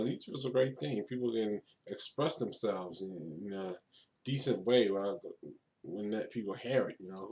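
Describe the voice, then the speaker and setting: A man's voice: fairly low pitch, warm tone, low-quality recording. A man in his thirties from Brooklyn, New York, talking casually and unscripted to the camera, rambling, repeating and restarting his phrases. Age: 30s. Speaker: vlogger.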